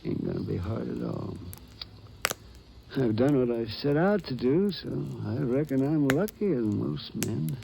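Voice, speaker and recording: An actor playing a frail, stubborn old American gold prospector in a 1950s radio western, with a folksy, halting delivery. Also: male voice, medium pitch, expressive, gravelly. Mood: weary, contented. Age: older. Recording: noisy vintage radio recording.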